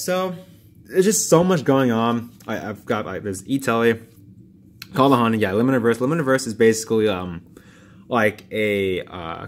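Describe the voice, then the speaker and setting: A man's voice: on the low side, slight background noise. Casual male vlogger, rambling and filler-heavy, slightly strained voice. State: voice strained from long talking. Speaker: card game YouTuber. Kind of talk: casual card review vlog.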